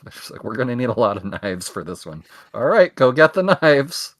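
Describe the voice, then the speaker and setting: A man's voice: mid-range voice, very animated, moderate pace, no room sound, studio quality. A young man co-hosting a podcast, rambling casually with self-mocking jokes. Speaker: podcast co-host. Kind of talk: casual chat.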